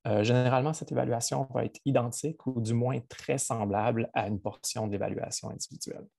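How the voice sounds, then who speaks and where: A man's voice: mid-range voice, steady tone, a little fast, creaky, dark tone. A man with a Québécois accent, a college physics teacher giving a webinar presentation over a video call, hesitant with many fillers. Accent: Québécois French. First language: French.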